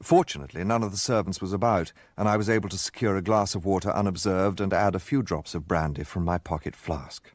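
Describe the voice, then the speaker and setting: Low-pitched gentleman storyteller. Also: fast, hardly any pauses, no room sound. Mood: reflective, recounting. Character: gentlemanly male narrator recounting his own adventure. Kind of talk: scripted first-person radio drama narration.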